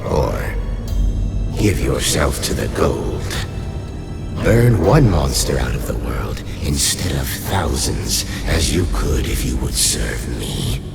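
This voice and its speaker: An ancient, menacing lich speaks slowly and imperiously, his low voice swinging through commanding, manipulative turns. Loud eerie music surrounds him, as in a dark fantasy radio play. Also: lively intonation, creaky, exaggerated voice. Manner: slow, deliberate, imperious delivery.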